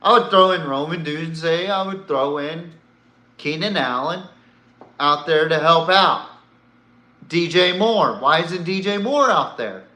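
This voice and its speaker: Slow-talking man with a bright, echoey sound. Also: on the high side, lively intonation. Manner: blunt, swearing, rhetorical questions. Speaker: sports podcast host. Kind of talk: casual opinionated sports commentary.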